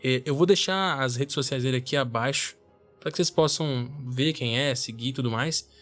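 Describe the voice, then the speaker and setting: A man's voice: mid-range voice, normal speed, fairly bright tone. A man with a Brazilian accent hosting a podcast, chatting casually to listeners with music behind him. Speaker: podcast host.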